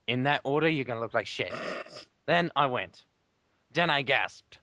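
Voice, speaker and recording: A man's voice: medium pitch, animated, normal speed, bright, forceful tone, dry recording. A young man doing a theatrical read-aloud with dry asides, recorded on a lo-fi microphone. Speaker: player in a comedic dramatic reading game.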